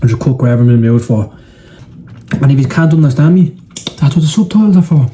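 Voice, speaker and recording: Sweary young Irish lad, dark voice. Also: male voice, mid-range voice, expressive, quick pace, slight background noise. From Ireland. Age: young adult. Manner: blunt and sweary, chatty. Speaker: cooking channel host. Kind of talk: casual cooking vlog narration.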